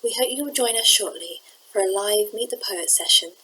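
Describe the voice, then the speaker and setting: A woman's voice: mid-range voice, normal speed, pushed and bright, slight background noise, low-quality recording. A woman hosting an event, reading a scripted announcement to the audience. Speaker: event host.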